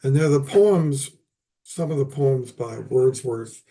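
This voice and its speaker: An older man hosting a poetry lecture, his voice hoarse and raspy after an illness, talking haltingly with many pauses and fillers. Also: mid-range voice, warm tone, poor audio.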